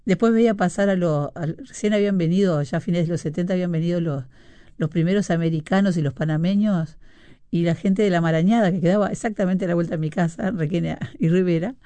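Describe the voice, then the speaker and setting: A woman's voice: on the low side, quick pace, no echo. Chatty Uruguayan woman radio host. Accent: Uruguayan Spanish. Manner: chatty, warm asides, frequent fillers and laughs. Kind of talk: casual radio chat reading listener messages.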